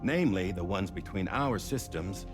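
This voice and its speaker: An actor playing a calm, commanding former director in a video game cutscene, speaking in a measured, theatrical way. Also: male voice, low voice, over music. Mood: grave, explaining. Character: calm, commanding male ex-director revealing a secret plan.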